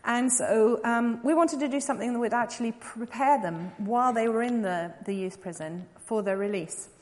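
A woman's voice: a little high, moderate pace. An English woman in her forties gives an informal talk to a church audience as a missionary speaker, chatty with many ums and light laughing asides. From England. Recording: long reverb of a large hall.

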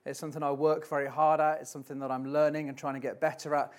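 A man's voice: on the high side, flat delivery, fast. A man filling in as preacher, giving a church sermon and reading scripture in a hesitant, self-deprecating way with many ums. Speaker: stand-in preacher. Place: church platform before a congregation.

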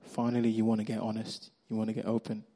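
A man with a Nigerian accent preaching a sermon, acting out the characters' lines and swinging between loud emphasis and quiet, hushed moments. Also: fairly low pitch, fast. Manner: animated storyteller, acts out Bible characters' lines.

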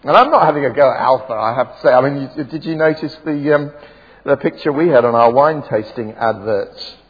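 Preacher, husky voice, reverberant church. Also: male voice, medium pitch, brisk. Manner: wry, conversational, self-deprecating, many ums and restarts. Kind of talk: sermon. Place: church hall with PA system. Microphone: PA microphone. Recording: dull lo-fi recording in a reverberant church.

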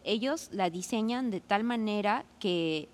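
A woman's voice: mid-range voice, lively intonation, measured pace. A woman in her forties, a law student, talking through her experience in a casual podcast conversation, thoughtful and full of fillers. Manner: reflective, many fillers like "este" and "¿no?".

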